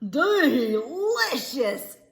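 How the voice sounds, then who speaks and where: Woman voicing an excitable little boy armadillo, lively pitch. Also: drawn-out words, gravelly, reverberant, full character voice. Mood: delighted. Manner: folksy cowboy phrasing. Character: eager, excitable young boy armadillo in a storybook.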